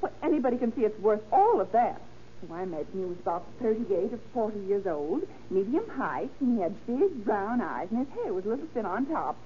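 A woman's voice: mid-range voice, big pitch swings, a little fast, mellow, close to an everyday voice. An actress playing a fussy, talkative hat shop saleswoman with a rambling, fluttery manner in 1930s radio style. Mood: chatty. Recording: muffled, hissy old radio recording.

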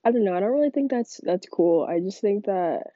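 A woman's voice: medium pitch, lively intonation, fairly quick, dark tone, no echo, lo-fi sound. A young woman with a stuffy, congested voice, talking in a rambling casual monologue full of "like" fillers. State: congested from a cold. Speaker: podcast host.

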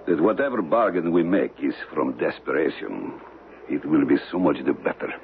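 An actor playing a shady, calculating smuggler in a radio mystery, speaking deliberately and formally with dramatic pauses. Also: male voice, rumbling low, animated, creaky, full character voice. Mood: guarded, menacing. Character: shady, calculating male smuggler of stolen antiquities. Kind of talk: old-time radio mystery acting. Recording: muffled, noisy old radio broadcast recording.

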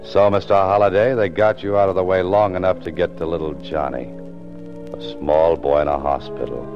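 A man's voice: sits low, steady tone, brisk. A man playing a terse, brooding author-detective who narrates his own case, with an American accent, in 1940s radio noir style. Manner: terse, self-questioning. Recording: muffled 1940s radio recording, music behind.

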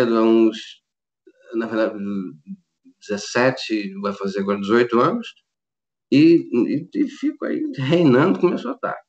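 A Brazilian man in his fifties with a Rio accent, chatting as a guest in a casual interview over a video call, rambling with many restarts and laughs. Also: mid-range voice. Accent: Rio de Janeiro Brazilian Portuguese. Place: at home in Australia. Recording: dull lo-fi video call audio.

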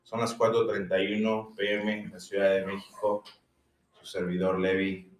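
Mexico city guy hosting a chill podcast, lowish voice, kinda far from the mic in an echoey room. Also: a little hiss or hum. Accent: Mexico City Spanish. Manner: relaxed slangy banter, drawn-out phrases. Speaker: podcast host. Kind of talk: casual podcast chat.

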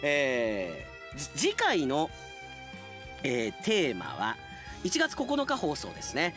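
A man's voice: mid-range voice, wildly swooping pitch, takes it slowly, music in the background. A man hosting a radio show, chatting casually and playfully like an announcer, with conversational asides.

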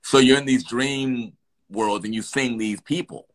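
A middle-aged man, a guest actor, telling animated stories from his work in an interview. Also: mid-range voice, measured pace, low-quality recording. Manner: animated, lively storyteller with restarts. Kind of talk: storytelling in an interview.

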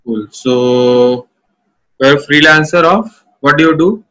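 A man's voice: a little high, slow pace, a bit bright, poor audio. A man, casually asking questions as a podcast interviewer.

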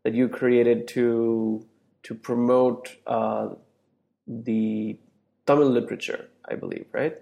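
A man's voice: medium pitch, level tone, slow, gravelly, warm tone. A man hosting a podcast interview over a remote call, asking questions in a casual, loose way and rephrasing them as he goes. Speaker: podcast host.

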